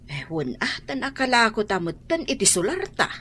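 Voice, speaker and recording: A woman's voice: on the high side, big pitch swings, moderate pace, a bit bright, slight background noise. A woman playing a spirited older woman devoted to family in a radio drama, with an Ilocano accent. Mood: concerned, earnest.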